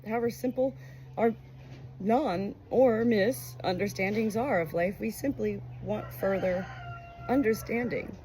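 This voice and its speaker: A woman with a medium-pitched voice reads aloud in an earnest, emotional way. Her delivery is expressive and personal. Clear background noise with a crowing rooster sits behind her. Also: measured pace. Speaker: audiobook reader. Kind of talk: expressive book narration with personal commentary.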